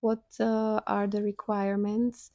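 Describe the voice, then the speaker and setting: A woman's voice: mid-range voice, flat delivery, unhurried, mellow, dry recording. A woman hosting a podcast, asking short, friendly questions. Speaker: podcast host. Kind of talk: interview questions.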